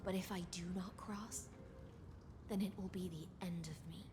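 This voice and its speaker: Actress doing a grave resolute warrior lady, low hushed voice, solemn game acting, loud music behind. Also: fairly even intonation, normal speed, natural voice. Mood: solemn resolve. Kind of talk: dramatic video game voice acting. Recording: music under the voice.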